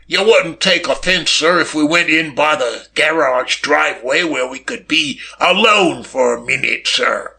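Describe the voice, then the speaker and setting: A man's voice: a little high, unhurried, vocal fry, few pauses, bright, forceful tone, exaggerated voice. A man voicing a nervous, deferential footman in an audiobook, with a quavering, hesitant delivery. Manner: hesitant, obsequious, stammering. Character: nervous, deferential male footman, pleading servant.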